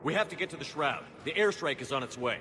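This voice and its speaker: An actor playing a hard-edged human military commander in a video game, terse, stern and impatient. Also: male voice, fairly high pitch, expressive, fast talker, noisy background, natural voice. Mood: brisk. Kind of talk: video game cutscene acting. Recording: music behind the voice.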